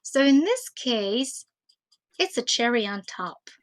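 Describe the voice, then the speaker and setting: Slow, hesitant woman lecturing. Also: a little high, sing-song. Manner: slow, thoughtful, with many hesitations. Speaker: teacher trainer. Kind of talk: conference talk on teaching.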